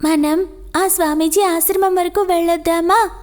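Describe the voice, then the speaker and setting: A woman's voice: very high-pitched, fairly even intonation, normal speed, darkish tone. A woman voicing a small cartoon rat who is cautious, respectful and gullible, speaking earnestly. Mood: deferential. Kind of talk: children's cartoon dialogue. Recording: clear music behind.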